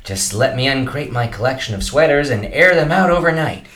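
An actor playing a burnt-out elderly male children's TV host in a comedy radio play, speaking softly and slowly. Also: mid-range voice, expressive, reverberant. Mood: weary. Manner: soft, slow and gentle, turning bitter.

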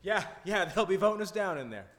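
Earnest, high-voiced young radio-play hero. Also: male voice, sing-song, a little fast, a bit bright. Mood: pleading. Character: earnest, restless young man desperate to leave for college. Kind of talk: 1940s radio play acting. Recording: reverberant hall stage recording.

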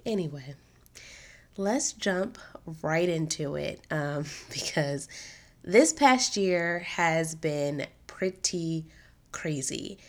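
A young adult woman hosting a solo podcast in a casual, chatty way, her voice a little raspy from allergies. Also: deep voice, animated, very slow. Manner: chatty, upbeat, informal slang. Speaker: podcast host.

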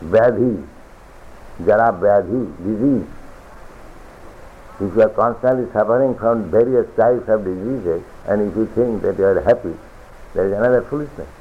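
A man giving a philosophical sermon as a spiritual teacher, lecturing deliberately, repeating phrases and reciting scripture. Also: lowish voice, a little hiss or hum. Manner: deliberate, repetitive lecturing with chanted scripture quotes. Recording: tinny lo-fi archival recording.

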